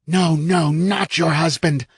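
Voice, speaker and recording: A man voicing a cruel spirit voice inside a woman's head, slow and hushed. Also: on the high side, fairly even intonation, slightly rough, no room sound, pitched above the performer's own voice. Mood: menacing. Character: terrible inner spirit voice, cold and cruel.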